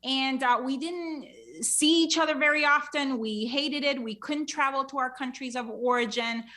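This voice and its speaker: A woman from Honduras tells her story as a podcast guest, filling her talk with "um" and "you know." Her voice is bright and sits a little high. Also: normal speed. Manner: many fillers like um and you know. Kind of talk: casual interview storytelling.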